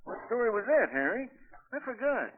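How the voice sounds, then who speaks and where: An actor playing a tipsy, dim but loyal sidekick in a 1940s radio drama, rambling in rough, uneducated speech. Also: male voice, very high voice, sing-song, brisk, slight background noise. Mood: muddled, eager. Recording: tinny, phone-like old radio broadcast.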